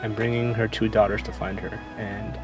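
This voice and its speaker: A man giving casual gameplay commentary as a streamer, sometimes reading game text aloud, with a gravelly voice. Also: fairly low pitch, level tone, normal speed, low-quality recording. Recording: faint game music behind. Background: game music.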